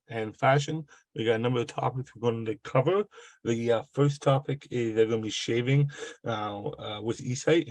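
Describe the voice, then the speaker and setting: A man with a cold and a gravelly voice hosting a live online workshop over a video call, halting and full of fillers and restarts. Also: medium pitch, a little mellow, no echo. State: has a cold. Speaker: webinar host.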